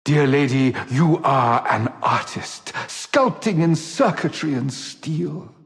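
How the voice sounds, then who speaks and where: An actor voicing a flirtatious, courtly gentleman officer in a sci-fi video game, teasing in flowery language. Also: a little high, lively intonation, slow pace, creaky, soft background music, full character voice. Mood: courtly, wistful. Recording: long hall reverb.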